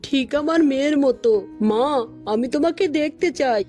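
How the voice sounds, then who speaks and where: Woman as a high-voiced, pleading frail old man. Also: moderate pace, a little mellow, soft background music, lightly acted. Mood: pleading, coaxing. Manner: pleading, coaxing. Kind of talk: children's cartoon voice acting.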